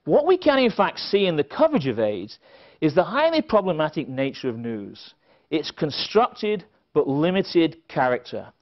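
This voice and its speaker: A man's voice: fairly high pitch, wildly swooping pitch, moderate pace. A middle-aged man giving a prepared conference lecture, with wry, dry humorous asides and emphasis on quoted phrases. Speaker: conference speaker. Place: indoor stage at a conference podium. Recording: slightly dull, band-limited event recording.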